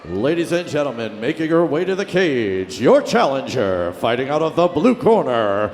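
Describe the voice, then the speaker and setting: A man's voice: mid-range voice, big pitch swings, moderate pace, darkish tone, a little hiss or hum. A man working as a ring announcer, giving ceremonial, theatrical fight introductions with stretched-out words over an arena PA. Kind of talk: ceremonial fight introductions, drawn-out shouted names. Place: indoor arena. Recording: echoing arena PA with music, crowd. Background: music and crowd.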